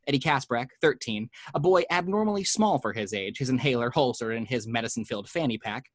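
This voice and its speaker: A man reads a screenplay's action lines at home with vivid, measured delivery. His voice sits in a middle range and sounds dry and close, with no room echo. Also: medium pitch, expressive. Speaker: narrator reading action lines. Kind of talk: screenplay reading.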